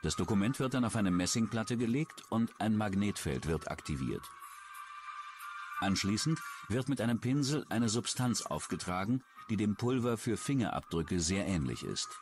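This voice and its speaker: A man's voice: on the low side, lively intonation, moderate pace, music in the background. A man reading scripted true-crime documentary narration.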